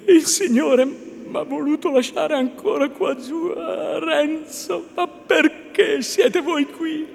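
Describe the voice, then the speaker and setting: A young peasant woman, played by a man in a literary audiobook, pleads in a high, creaky, trembling voice. She is gentle, devout and anguished, halting as she goes, and the sound rings with long reverb. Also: fairly even intonation, full character voice. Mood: anxious, tender. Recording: long hall reverb, faint noise.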